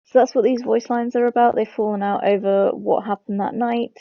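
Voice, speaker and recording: A woman's voice: on the high side, steady tone, fairly quick, creaky, no echo. A woman hosting a game lore video, explaining it informally as she goes. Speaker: host of a game lore channel. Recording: dull lo-fi close mic.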